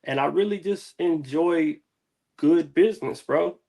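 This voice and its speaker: A man's voice: a little high, a little slow, gravelly, mellow. A man from the United States, a music artist being interviewed, talking casually with lots of slang and swearing. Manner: slangy, profane, peppered with "man" and "dude".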